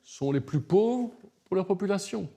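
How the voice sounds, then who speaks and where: A middle-aged man speaks in a mellow, slightly high voice. He is articulate and earnest, building his points with emphasis as an interview guest. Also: animated, moderate pace, gravelly. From Belgium. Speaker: human rights lawyer, interview guest.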